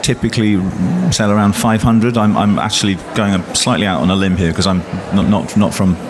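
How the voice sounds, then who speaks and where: A man's voice: on the low side, fairly even intonation, fairly quick. A man, a poet speaking on a panel, hesitant with many restarts and fillers. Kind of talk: panel discussion. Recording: loud traffic noise behind.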